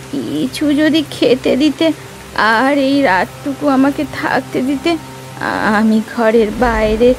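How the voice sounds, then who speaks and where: An old beggar woman with a goddess's authority, in a moral cartoon. Her voice is fairly high with a gravelly creak, over clear background music. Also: poor audio. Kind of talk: children's moral cartoon dialogue.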